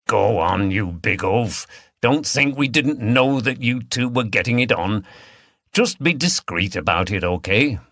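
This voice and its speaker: A man's voice: medium pitch, lively intonation, measured pace, fairly bright tone, no room sound, clean studio sound. A man voicing an easygoing, plain-spoken innkeeper who banters with staff and regulars in an audiobook. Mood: bantering. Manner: bantering, matter-of-fact.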